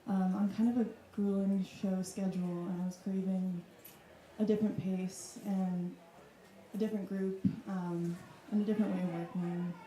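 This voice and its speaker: A woman's voice: medium pitch, level tone, moderate pace, dark tone. A woman in her thirties from Wisconsin, talking thoughtfully about her art practice in front of an audience. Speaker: artist guest. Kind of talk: casual reflective talk. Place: club room with audience. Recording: echoey room.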